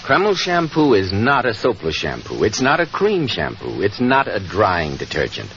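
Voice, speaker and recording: A man with an American accent announcing in 1940s radio style, polished and emphatic like a practised pitchman. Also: huge pitch swings, moderate pace, a bit bright, slight background noise. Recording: muffled 1940s radio broadcast with music.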